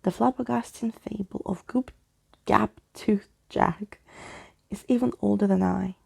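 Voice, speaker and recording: A woman playing a grandiose, courtly old male skeleton who tells tall tales in a florid, breathy storybook manner. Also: mid-range voice, steady tone, a little mellow, exaggerated voice. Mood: boastful, whimsical. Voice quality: breathy, husky. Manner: theatrical, florid, slow.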